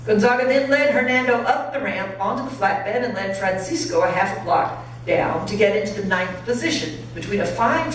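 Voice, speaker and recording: A woman pastor reading a story aloud expressively and deliberately to listeners in a large church. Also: medium pitch. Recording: very echoey church, distant mic, faint noise.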